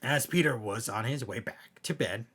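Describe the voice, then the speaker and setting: A man narrating scary bedtime stories as a host, in a theatrical way with emphatic swells and hushed drops. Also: mid-range voice, moderate pace, breathy edge. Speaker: storyteller, host.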